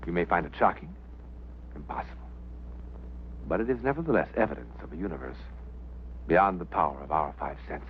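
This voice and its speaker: A man presenting a theatrical, scripted introduction to a drama in a deliberate, pondering manner, on a vintage soundtrack. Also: extremely low pitch, animated. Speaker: host presenter. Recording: dull vintage film soundtrack with music.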